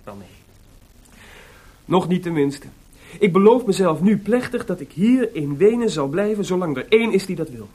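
A man's voice: sits high, lively intonation, moderate pace, dark tone, breathy edge. A man acting in a Dutch radio play as a playful, flirtatious, restless composer with a teasing, mock-formal manner. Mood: sulky, playful.

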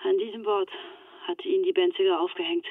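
A woman's voice: mid-range voice, fairly even intonation, brisk, dry recording. An actress in a radio play playing a shaken young woman in hiding, confiding over a telephone. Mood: grim, explanatory. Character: shaken Turkish-Swiss woman in hiding, recounting betrayal. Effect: telephone filter. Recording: thin, dry phone-line sound.

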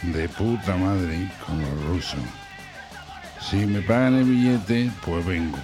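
A man's voice: low voice, slow pace, over music. A man who was in a punk band, talking in an interview in a hesitant, rambling way with many restarts. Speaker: former punk band member. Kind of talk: interview chat.

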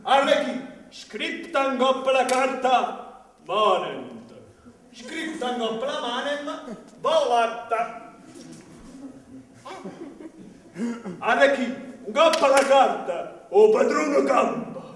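A man's voice: very high voice, big pitch swings, slow pace, full character voice. A man playing a pushy, indignant comic servant in stage commedia, with an emphatic southern Italian dialect delivery. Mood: triumphant scolding. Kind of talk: commedia dell'arte stage comedy. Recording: very echoey hall, distant mic, noisy.

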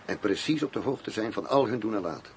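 An actor in a radio drama playing a wry, world-weary undercover agent who poses as a gangster boss. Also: male voice, lowish voice, animated, fast talker, a little mellow, a little hiss or hum, close to an everyday voice. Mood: measured, concerned.

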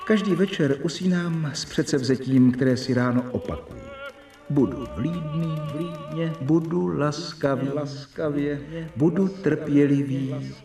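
An actor playing an earnest, observant doctor who narrates his journal in a radio drama, deliberately and expressively. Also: a little high. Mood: measured, resolute. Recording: roomy, distant, clear music behind.

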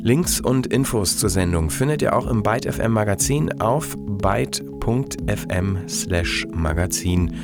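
A man's voice: on the low side, animated, unhurried, few pauses. A male radio host giving a plain, informative scripted sign-off to his music show.

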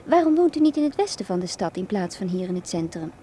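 Actress as a mellow, worldly young woman of the night. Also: mid-range voice, big pitch swings, fast talker, a little hiss or hum, lightly acted. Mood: cool, disillusioned. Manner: coolly provocative, knowing, formal. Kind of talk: radio drama dialogue.

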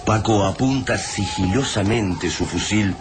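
A man's voice: lowish voice, unhurried, background noise, natural voice. A man narrating a science fiction radio drama in a solemn, dramatic manner. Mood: grave, suspenseful. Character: grave male storyteller of a science fiction war tale. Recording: music bed behind narration.

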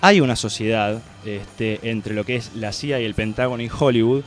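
A man's voice: lowish voice, moderate pace, faint music behind. A man with a Buenos Aires accent giving a colloquial, rambling opinion column on film for a radio show. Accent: Argentine, Buenos Aires. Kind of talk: casual opinion commentary. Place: radio studio.

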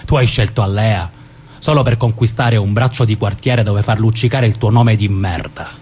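An actor playing a dead mobster, bitter and reflective, reproaching in a southern Italian accent in a stage drama. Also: male voice, moderate pace, darkish tone, lightly acted. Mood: reproachful. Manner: bitter, confiding, profane. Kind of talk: dialect stage drama acting. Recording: noisy recording with low rumble.

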